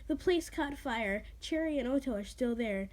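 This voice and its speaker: A woman's voice: sits high, expressive, moderate pace, a little mellow. A woman voicing an urgent, bossy, worried young woman in a story, dramatic and exclaiming.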